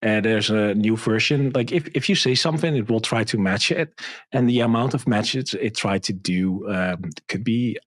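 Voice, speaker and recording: A man with a Dutch accent, a podcast guest explaining software in casual, rambling chat with many fillers. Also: fairly low pitch, moderate pace, dry recording. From Netherlands. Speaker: guest developer.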